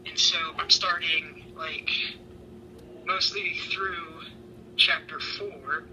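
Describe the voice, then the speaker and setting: A woman's voice: low voice, fairly even intonation, takes it slowly, sharp tone. A woman reading a story aloud in an amateur dramatic style, with sweary, self-mocking asides. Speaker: fan fiction reader. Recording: noisy home recording.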